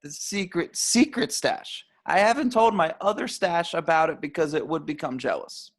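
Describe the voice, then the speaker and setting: A man's voice: on the high side, normal speed. A man doing a ranting paranoid young stoner. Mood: grandly philosophical. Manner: ranting, grandiose wordy pronouncements. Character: paranoid young male stoner, rambling anti-establishment philosopher. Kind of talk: amateur screenplay table read.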